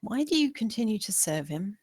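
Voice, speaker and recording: A woman's voice: mid-range voice, sing-song, brisk, a little mellow, no echo, studio quality. A woman playing a defiant female servant who questions earnestly and pointedly in a gothic drama table read. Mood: accusing, defiant.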